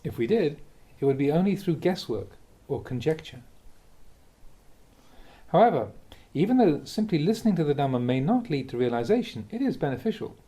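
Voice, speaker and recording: Male monk reading aloud; animated, melodic delivery, calm and reflective. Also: a little high, moderate pace. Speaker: Buddhist monk reading teachings. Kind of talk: reading a dharma talk aloud.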